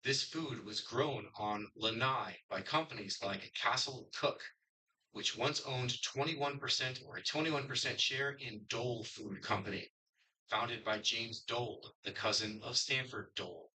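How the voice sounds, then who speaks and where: A middle-aged man from Connecticut, moderating a history quiz and reading questions in a brisk, upbeat way. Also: mid-range voice, bright, forceful tone, poor audio.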